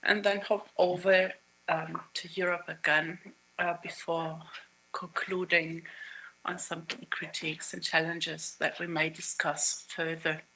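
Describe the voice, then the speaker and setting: A woman's voice: low-pitched, little pitch movement, unhurried, sharp tone, slightly rough, poor audio. A middle-aged woman, not a native English speaker, giving a keynote conference presentation with many hesitations and fillers. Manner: hesitant, many ums and uhs. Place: conference hall.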